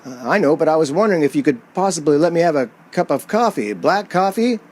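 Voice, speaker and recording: A man's voice: on the high side, expressive, quick pace, darkish tone, pitched above the performer's own voice. A man playing an anxious, haunted driver who narrates his dread tensely and hesitantly in a radio play. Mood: flustered pleading. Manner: tense, hesitant, dramatic repetition.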